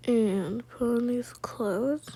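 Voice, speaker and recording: A soft-spoken woman casually narrating her own gameplay in a low-key roleplay style, often dropping to a whisper. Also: extremely slow, breathy edge. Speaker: gaming video creator voicing her own gameplay.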